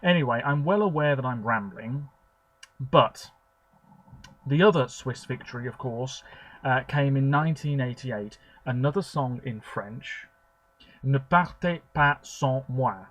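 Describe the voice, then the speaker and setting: Male video blogger with a middle-pitched voice, casual and opinionated, who rambles with plenty of fillers. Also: measured pace, fairly bright tone, rough recording. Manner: chatty, opinionated, with frequent fillers. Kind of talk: rambling commentary.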